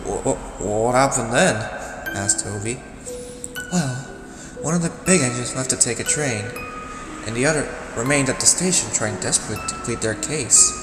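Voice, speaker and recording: A man narrating a dark children's story as scripted audio drama, with dramatic delivery and deliberate pauses. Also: medium pitch, lively intonation. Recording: echoey, reverberant hall sound. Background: loud music.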